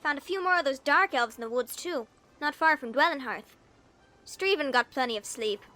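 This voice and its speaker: A woman's voice: expressive, normal speed. A woman voicing a small, feisty fairy ranger in an audio drama, bold and defensive. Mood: insistent. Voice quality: bright, high-pitched.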